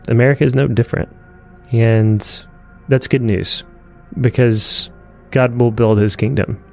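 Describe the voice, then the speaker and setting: A man's voice: medium pitch, creaky. A man co-hosting a podcast, speaking earnestly in a preacherly way and repeating phrases for emphasis. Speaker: podcast co-host. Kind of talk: earnest discussion.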